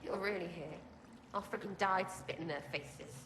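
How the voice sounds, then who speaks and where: A woman's voice: lowish voice, moderate pace, echoey room, noisy background, natural voice. An actress playing a scrappy, bitter, rattled young female archer in a video game, with a blunt streetwise manner. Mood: bitter, grieving. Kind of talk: video game cutscene acting.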